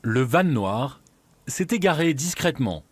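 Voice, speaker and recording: A man with a French accent from France narrates an investigative documentary in a measured, serious and dramatic scripted style. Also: medium pitch, very animated, husky, no room sound. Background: background music.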